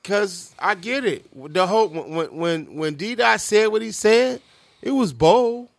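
50s guy from chicago's south side on a podcast, kinda high voice, dry close sound, keeps hammering his point. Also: lively intonation, slow pace, creaky, no room sound. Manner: emphatic, repeats his points. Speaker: podcast co-host. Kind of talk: casual chat.

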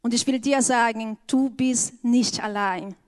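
Slow earnest woman with Brazilian accent. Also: fairly high pitch. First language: Portuguese. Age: adult with an eleven-year-old daughter. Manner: slow, earnest, careful phrasing. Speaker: church speaker. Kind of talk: personal testimony talk.

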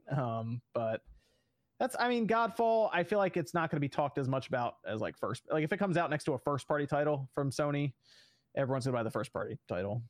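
A man's voice: fairly high pitch, animated, brisk, no room sound, studio quality. A man hosting a casual gaming podcast, talking loosely with many fillers and chuckles. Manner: rambling, fillers, chuckles mid-sentence. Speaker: podcast host.

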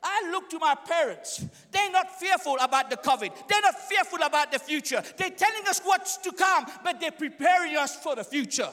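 A young man preaching a sermon in a church, speaking English as a second language, fiery and often shouting in call-and-response with the congregation. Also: extremely high pitch, expressive, normal speed, hardly any pauses. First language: not English. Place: church hall. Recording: echoey church hall reverb.

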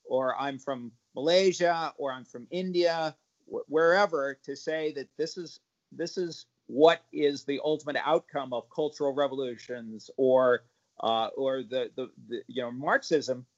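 A man's voice: mid-range voice, steady tone. Man, parent activist on a panel, stop-start delivery, lots of repeated words, dull muffled sound. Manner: halting, many repeated words and restarts. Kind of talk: panel discussion remarks. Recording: muffled lo-fi audio.

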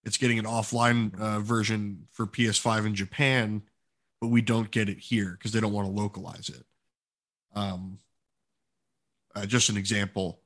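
A man's voice: lowish voice, flat delivery, moderate pace, pauses often, fairly bright tone. A man co-hosting a gaming podcast, chatting casually and informally with enthusiastic, opinionated remarks. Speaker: podcast co-host.

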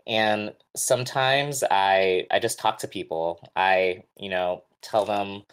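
A man co-hosting a casual podcast, speaking in a measured, thoughtful way. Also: on the low side, steady tone, fairly bright tone, clear, resonant tone. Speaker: podcast co-host.

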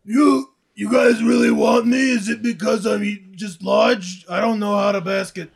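A man playing a big, excitable new team captain in an amateur comedy table read, with a raspy, strained yell. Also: high voice, normal speed, a crowd in the background. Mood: incredulous, excited.

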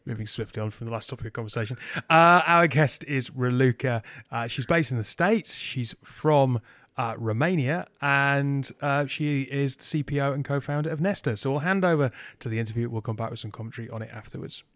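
A man's voice: medium pitch, brisk, a bit bright, no echo, studio quality. A man hosting a podcast, bantering jokily with his co-host and then interviewing a guest in a friendly way. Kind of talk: casual podcast chat and interview.

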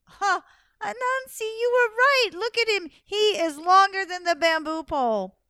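A woman's voice: high voice, fast talker, bright, forceful tone, clean tone, no echo, clean studio sound. A woman storyteller voicing a trickster's clever wife, argumentative and emphatic. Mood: mock-amazed. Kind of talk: oral storytelling.

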